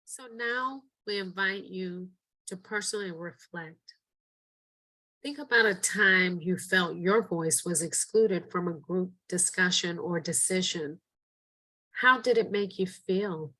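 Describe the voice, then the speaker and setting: A woman's voice: lowish voice, slow, sharp tone, rough recording. Earnest middle-aged woman presenting training. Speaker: educator and presenter. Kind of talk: scripted training narration.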